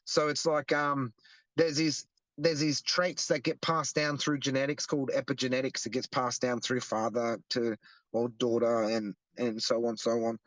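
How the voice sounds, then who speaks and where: A man's voice: mid-range voice, flat delivery, normal speed, fairly bright tone, no echo. A young Australian man explaining earnestly in a casual interview, often restarting his sentences. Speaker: guest, activist.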